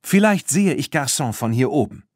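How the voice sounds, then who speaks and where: A man voicing a bold, spirited young girl rider in a children's audiobook, eager and brisk. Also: on the high side, animated, dry recording, studio quality, voice pushed up.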